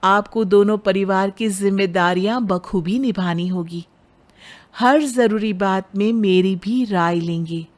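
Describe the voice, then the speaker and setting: A woman's voice: medium pitch, a little slow, lightly acted. Woman voicing an outspoken, determined young bride. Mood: solemn. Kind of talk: dramatized Hindi moral story.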